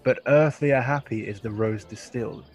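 Guy as noble commanding duke in shakespeare radio play, stately, mid-pitched male voice. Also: darkish tone, soft background music, lightly acted. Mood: grave, advising. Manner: stately, measured, declamatory.

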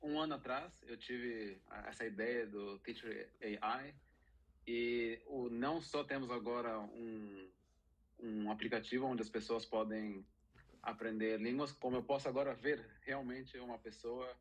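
A man in his thirties talking over a webcam call, patient and encouraging. He speaks slowly and clearly at a middle pitch. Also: fairly even intonation, rough recording. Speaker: app co-founder. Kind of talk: friendly video-call conversation.

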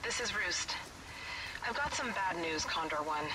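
Earnest radio operator, very noisy transmission. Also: male voice, sits high, lively intonation, unhurried, distant mic. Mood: apologetic. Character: apologetic male support operator on military radio. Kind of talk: video game radio dialogue.

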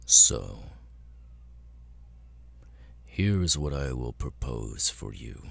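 A man voicing a cold, arrogant immortal demon lord in an ASMR roleplay, speaking with deliberate, condescending menace. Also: sing-song, exaggerated voice. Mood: cold threatening. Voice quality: very deep, gravelly, husky. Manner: slow, deliberate, condescending, with long pauses.

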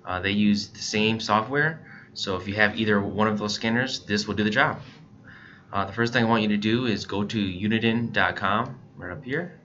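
A man presenting a software tutorial in a casual, step-by-step narration style. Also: lowish voice, moderate pace. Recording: dull lo-fi mic, background noise.

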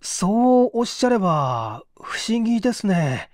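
A man voicing a guarded, melancholy gentleman who answers hesitantly and politely in a story reading. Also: high-pitched, very animated, unhurried, no room sound, clean studio sound. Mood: uneasy, guarded. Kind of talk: acted dialogue in story reading.

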